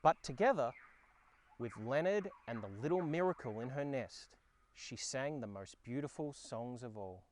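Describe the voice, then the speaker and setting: An Australian man narrating a children's storybook in a dramatic, expressive way. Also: medium pitch, moderate pace. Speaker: storyteller and host. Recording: background ambience and effects noise. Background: sound effects and ambience.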